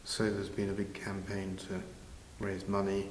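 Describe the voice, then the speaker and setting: An older man answering interview questions informally, in a measured, halting way with pauses. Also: deep voice, monotone. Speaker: interviewee, village pantomime organiser. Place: large village hall, indoors. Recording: very echoey hall, faint noise.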